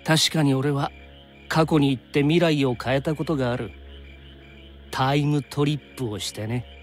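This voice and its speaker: An actor playing an easygoing young time traveler in a Japanese anime game, chatting in casual slang with an undercurrent of worry. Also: male voice, medium pitch, fairly even intonation, normal speed, soft background music, natural voice. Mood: serious, subdued.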